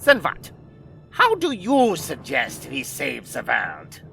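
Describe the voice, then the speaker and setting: A man's voice: on the high side, huge pitch swings, slow, gravelly, pushed and bright, faint music behind, exaggerated voice. A man playing a pompous, aggrieved mad scientist villain in an audio drama, with grandiose theatrical delivery. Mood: faltering, troubled.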